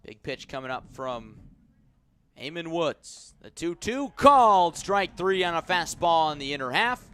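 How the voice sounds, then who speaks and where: A man calling a baseball game live as a radio play-by-play announcer, with measured, descriptive delivery that lifts when the action picks up. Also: a little high, animated, bright, forceful tone, smooth, dry recording. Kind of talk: live sports commentary.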